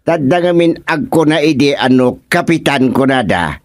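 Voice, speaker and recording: A man's voice: on the high side, lively intonation, moderate pace, dry recording, studio quality, lightly acted. A man acting a talkative, fussy village official in an Ilocano radio comedy, with an Ilocano accent and a hesitant way of repeating his words. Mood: formal, jovial.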